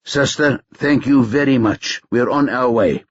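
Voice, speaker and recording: A man voicing an urgent male police captain giving brisk orders. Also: medium pitch, slightly rough, highs cut off.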